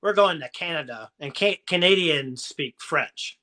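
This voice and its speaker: Ohio guy with a really bright ringing voice, kinda high, rambling and encouraging on a casual live stream. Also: expressive, a little slow. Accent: southern Ohio American. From Ohio, USA. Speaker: motivational live-stream host.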